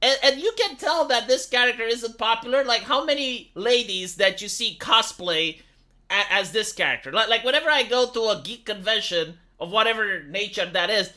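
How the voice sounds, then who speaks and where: Man, Romanian accent, high voice, piercingly bright and forceful, sarcastic casual rant. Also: normal speed. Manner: sarcastic, exasperated, with rhetorical tag questions. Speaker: YouTube commentator and streamer. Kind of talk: opinionated casual commentary rant.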